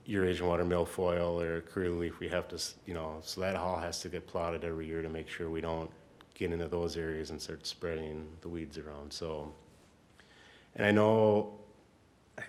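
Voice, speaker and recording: Very deep-voiced man explaining informally. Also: a little hiss or hum. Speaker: city staff member. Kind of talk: explaining at a council meeting.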